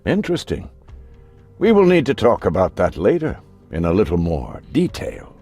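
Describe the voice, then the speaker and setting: An actor playing an aristocratic elder vampire villain in a video game, theatrical and mock-courteous, with a gravelly, husky voice. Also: male voice, low voice, wildly swooping pitch, normal speed, darkish tone, faint music behind, heavily acted. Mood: amused, probing. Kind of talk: video game cutscene acting.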